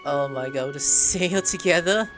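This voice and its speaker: A woman doing playful, casual gameplay commentary in an excitable, giggly, exclamatory way. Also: low-pitched, normal speed. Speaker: gamer reacting to a story quest. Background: game music.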